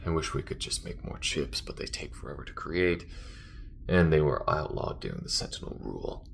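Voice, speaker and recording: A man playing an alien smuggler in an intimate audio roleplay, tender, wry and worried, speaking in a soft, halting, breathy murmur. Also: animated, slight background noise, full character voice. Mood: anxious, planning. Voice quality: very deep, gravelly, breathy murmur. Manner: halting, soft-spoken, often dropping to a whisper.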